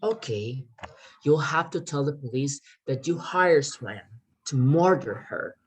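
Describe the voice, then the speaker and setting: A woman performing in a readers theater as a crime writer, earnest and persuasive, speaking haltingly while pitching a scheme to save a friend. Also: expressive, breathy edge, heavily acted. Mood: urgent, persuasive.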